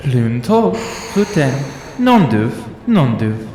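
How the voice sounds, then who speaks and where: A man performs a formal alien announcer in a radio play. The delivery is extremely slow with swooping intonation, in a roomy echo. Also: mid-range voice, noisy background. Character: impersonal alien announcer calling out window numbers. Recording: echoing announcement sound.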